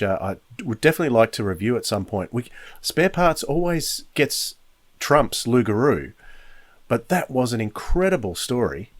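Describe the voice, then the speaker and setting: A man with a fairly low voice presents a podcast review. He is conversational and explanatory, measured in his opinions, recorded close and dry. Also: dry recording. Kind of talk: spoken review, conversational commentary.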